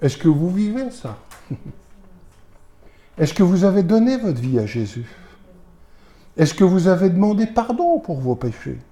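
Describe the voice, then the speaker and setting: A man's voice: sits high, very animated, measured pace, long pauses, dark tone. A man with a Belgian French accent, a pastor preaching to a congregation and pressing them with rhetorical questions. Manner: fires rhetorical questions at listeners, emphatic.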